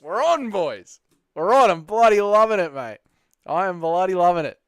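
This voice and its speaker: Excitable Australian man streaming live. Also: high voice, moderate pace, clean tone, no room sound. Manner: excitable, swears, slangy banter. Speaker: streamer host. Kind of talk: live stream chat with viewers.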